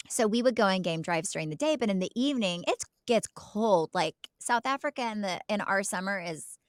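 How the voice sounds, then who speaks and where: A woman's voice: mid-range voice, dry recording, clean studio sound. A woman co-hosting a podcast in casual, chatty style, emphatic and given to repeating words for stress. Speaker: podcast co-host. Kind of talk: casual chat.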